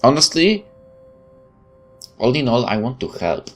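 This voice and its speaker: A man's voice: mid-range voice, big pitch swings, a little slow, soft background music. A man hosting a let's play, reading a visual novel aloud and adding casual off-the-cuff commentary. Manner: off-the-cuff remarks between read passages.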